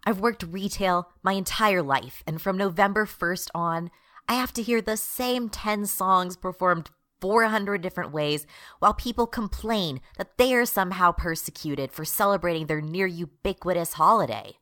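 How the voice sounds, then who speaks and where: Woman as fed-up retail rebel, sharp forceful voice, slightly low pitch, exasperated ranting, radio drama. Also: moderate pace, lightly acted. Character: fed-up non-binary retail worker turned rebel leader.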